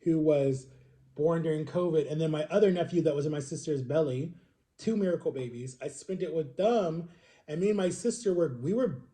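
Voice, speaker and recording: A man in his thirties with a slightly high but very dark, relaxed tone. He talks to his viewers as if chatting with friends. His storytelling rambles, circling back to correct himself and repeating words for emphasis. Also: moderate pace. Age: 30s. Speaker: vlogger.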